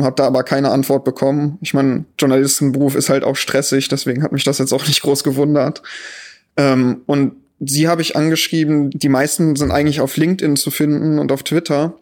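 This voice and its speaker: A man in his thirties with a Rhineland German accent, a journalist answering podcast questions in a relaxed, thoughtful way with frequent ums. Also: medium pitch, moderate pace. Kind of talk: podcast interview.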